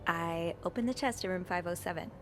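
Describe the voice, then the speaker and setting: Actress as an earnest, curious young maid. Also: female voice, fairly low pitch, fairly quick, a bit bright, soft background music, natural voice. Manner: earnest, thoughtful, polite. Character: earnest, curious young hotel maid sleuthing in 1950s mystery game. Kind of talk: conversational video game acting.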